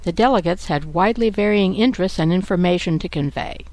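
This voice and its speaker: A woman radio host reading a scripted introduction calmly and asking interview questions. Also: on the low side, a little fast, dry recording.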